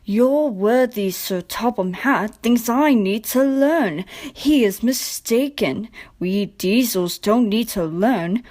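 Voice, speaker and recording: A woman voicing a smug, boastful male diesel engine in a storybook, with oily, purring politeness and drawn-out words. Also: fairly high pitch, a little mellow.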